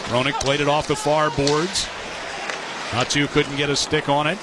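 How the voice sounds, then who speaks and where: A man's voice: on the high side, flat delivery, moderate pace. A man doing live play-by-play commentary of an ice hockey game for radio. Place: sports arena broadcast position. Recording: live arena broadcast with loud background noise. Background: loud arena noise.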